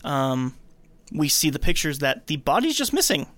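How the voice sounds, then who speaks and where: Male podcast host, higher-than-average voice, dry sound, casual storyteller narrating a film recap. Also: big pitch swings, normal speed, a bit bright, dry recording.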